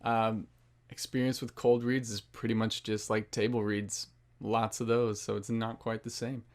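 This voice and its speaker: A man's voice: lowish voice, little pitch movement, normal speed. A man who works as an actor, introducing himself in casual chat.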